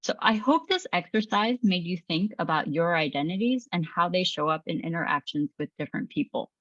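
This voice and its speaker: Calm woman, muffled dry narration. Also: lowish voice, brisk, no room sound. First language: English. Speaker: presenter. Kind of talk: scripted training narration.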